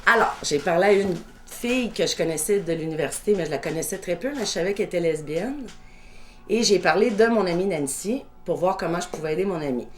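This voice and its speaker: A woman in her fifties with a Québécois French accent, recounting her own life story in a measured, reflective way during a documentary interview. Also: lowish voice, expressive, faint music behind. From Quebec.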